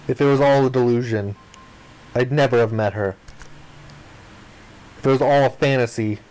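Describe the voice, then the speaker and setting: A man narrates the text of a visual novel for a playthrough. His voice sits at an average pitch. He reads the story aloud in a dramatic, first-person storytelling style. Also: a little mellow, rough recording. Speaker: let's player reading a visual novel aloud.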